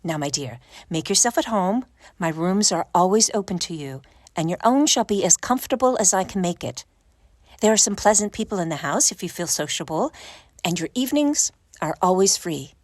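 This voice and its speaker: An actress playing a brisk, kindly, motherly boarding-house keeper in an audio drama, bustling and reassuring. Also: on the low side, animated, dry recording, clean studio sound.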